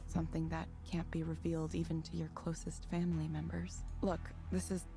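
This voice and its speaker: An actress playing a young woman counselor, speaking calmly and earnestly. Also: low-pitched, a little fast, gravelly, over music. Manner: calm, earnest, gentle. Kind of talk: video game voice acting.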